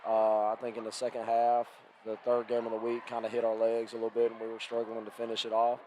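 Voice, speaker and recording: A man coaching basketball, hoarse and raspy from illness, talking plainly with many fillers. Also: mid-range voice, monotone, fast, warm tone, slight background noise, low-quality recording.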